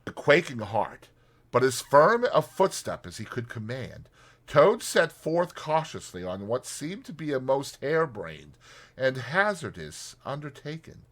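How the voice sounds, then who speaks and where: A man narrating an audiobook as a dramatized story, in a theatrical, expressive manner. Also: mid-range voice, measured pace.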